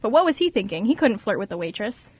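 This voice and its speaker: A woman's voice: mid-range voice, sing-song, fast, a little mellow, breathy edge. A young woman on a student radio show, speaking casually and narrating scripts. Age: young adult. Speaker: board operator and narrator. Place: radio studio.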